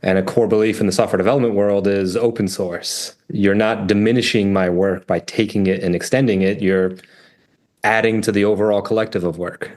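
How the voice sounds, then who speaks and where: A man born in France and raised in New Hampshire, a French native speaker, answering interview questions in a plain, measured way. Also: fairly low pitch, fairly even intonation, darkish tone. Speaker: guest, app founder.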